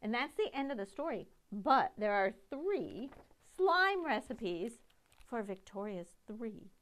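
A middle-aged woman reading a picture book aloud to children, with dramatic, deliberate phrasing. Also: on the high side, very animated, fairly bright tone. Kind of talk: expressive storytime read-aloud.

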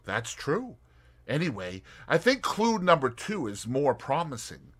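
A man reading an audiobook, voicing a brisk and determined young woman detective with emphatic, crisp speech. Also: mid-range voice, wildly swooping pitch, a bit bright, voice pushed up, exaggerated voice.

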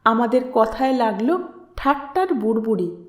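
A woman reading a literary story aloud as an audiobook narrator, in an expressive, dramatic way. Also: on the high side, moderate pace. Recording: roomy echo on the voice.

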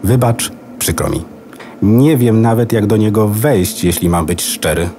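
A man's voice: on the low side, a little slow, mellow, slight background noise. A man playing a proud, chatty know-it-all computer hard drive in a children's radio play. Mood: apologetic. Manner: pedantic, boastful, goes off on tangents.

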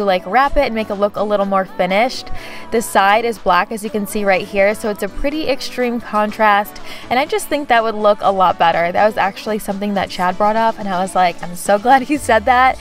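A woman's voice: mid-range voice, a little fast, soft background music. A woman vlogger chatting casually in a voice-over about her kitchen, with frequent "like" fillers. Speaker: home vlogger.

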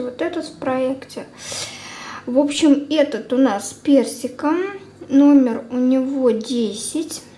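A young woman chatting casually through a product review as a beauty vlogger, informal and unhurried, thinking aloud. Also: high voice, mellow. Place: indoors at a table at home. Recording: clear background room noise.

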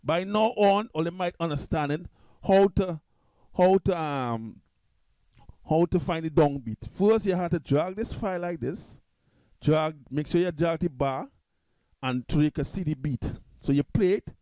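A man with a Trinidadian accent, talking loosely through a music software tutorial at his computer, repeating himself and restarting often. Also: a little high, unhurried, no room sound. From Trinidad and Tobago. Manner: loose, repetitive, restarts a lot. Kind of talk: casual screen-recorded software tutorial.

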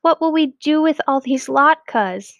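A woman's voice: sits high, animated, unhurried, vocal fry, a bit bright, no room sound, highs cut off, voice pushed up, exaggerated voice. A woman voicing an exasperated, dramatic village wife from a storybook, groaning and exclaiming. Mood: overwhelmed.

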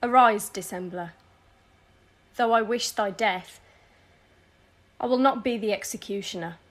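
A woman's voice: medium pitch, a little slow, natural voice. A young woman playing a bitter, vengeful noble widow in a verse audio drama, speaking with scorn. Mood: wary, cold. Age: young adult. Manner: scornful, cursing. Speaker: student actor.

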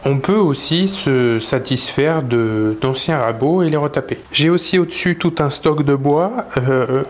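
Relaxed French-speaking man touring his workshop. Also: a little high. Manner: relaxed, many pauses and "euh" fillers. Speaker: woodworking channel host. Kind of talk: casual workshop tour. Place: indoor wood workshop.

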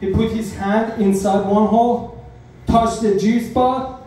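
A young man with a Persian accent performs stand-up in a small comedy club, his voice pitched fairly high. He talks in animated, slightly broken English phrases. The sound is strongly echoey, picked up by a camera from across the room. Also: slow pace, distant mic. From Iran. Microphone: handheld mic, heard from a far camera.

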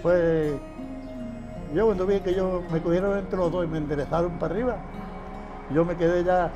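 A man's voice: high-pitched, steady tone, normal speed, dull audio. An older man, a former patient, answering interview questions in plain, repetitive speech with frequent chuckles, outdoors on a patio. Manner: plain-spoken, repeats phrases, chuckles often. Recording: music bed underneath. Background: background music.

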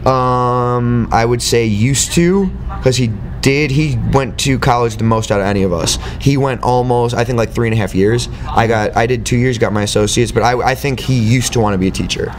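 A man's voice: mid-range voice, normal speed, a little hiss or hum. A young man, a band member, answering interview questions in a loose, rambling way with lots of fillers, seated on a living-room couch. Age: young adult. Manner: rambling, relaxed, many fillers. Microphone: far camera mic.

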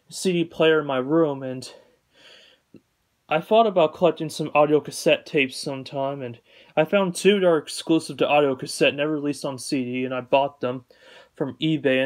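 Male collector, slightly higher register, unscripted home video update, matter-of-fact, repetitive and halting. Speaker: collector showing purchases.

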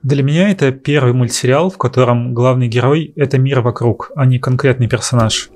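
A man's voice: mid-range voice, a little fast, noisy background. A man giving a casual scripted voice-over review as the host.

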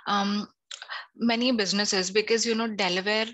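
Bright-voiced young woman on a headset. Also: mid-range voice, fairly even intonation, moderate pace. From India. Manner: hesitant, many fillers. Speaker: legal analyst guest. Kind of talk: video call interview.

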